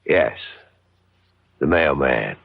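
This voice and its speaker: An actor playing a meek man turned exultant murderer in a 1970s radio drama, with halting, theatrical intensity. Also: a bass voice, lively intonation, vocal fry, no room sound, exaggerated voice. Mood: quietly menacing. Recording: dull vintage radio broadcast recording.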